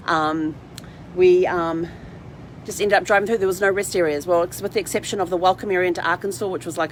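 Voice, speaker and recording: Chatty woman vlogging from inside a parked rv, lowish voice, noticeable hum behind her. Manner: chatty, informal, talks to her dog in asides. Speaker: vlog host. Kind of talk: casual rambling livestream chat.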